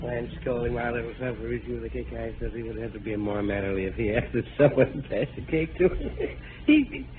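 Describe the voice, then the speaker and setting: A man's voice: mid-range voice, lively intonation, fairly quick, a crowd in the background, close to an everyday voice. An actor playing a middle-aged, fussy, easily flustered suburban father in an old radio sitcom, stammering and grumbling. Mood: amused. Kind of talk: 1940s radio sitcom acting. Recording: tinny, noisy old radio broadcast.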